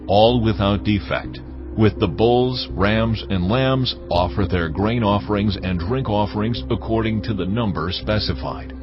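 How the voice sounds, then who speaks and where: A man performing a solemn lawgiver who dictates sacred ritual commands in measured, formal phrasing for a dramatized audio Bible. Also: fairly low pitch, lightly acted. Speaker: scripture reader. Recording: dull lo-fi audio, prominent music behind. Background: loud background music.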